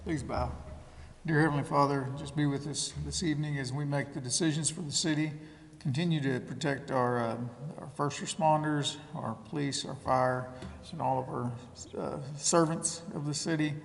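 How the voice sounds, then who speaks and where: A man offers an unscripted prayer in short, halting phrases. His voice has a creaky edge, and the recording carries hall reverb and some noise. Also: a little high, fairly even intonation. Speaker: council member leading prayer. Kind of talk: extemporaneous public prayer. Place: council chamber.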